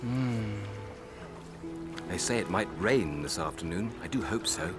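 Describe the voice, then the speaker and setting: A man playing a gentle, courteous young wartime suitor in a radio drama. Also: lowish voice, animated, measured pace, loud music behind the voice. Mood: gentle, affectionate. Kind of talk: radio theatre acting.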